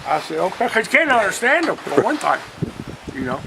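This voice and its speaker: A man's voice: sits high, sing-song, brisk, creaky, noisy background. An older man with an Alabama Southern accent, telling stories from his past in a folksy, rambling, casual way. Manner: folksy, rambling, often quoting past conversations. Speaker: storyteller, retired union organizer. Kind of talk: casual reminiscing.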